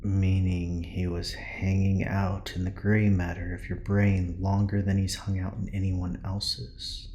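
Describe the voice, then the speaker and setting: A man playing a laconic, unflappable subordinate in an audio drama, flat and deadpan. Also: low voice, unhurried, few pauses, reverberant, a little hiss or hum. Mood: dry, patient.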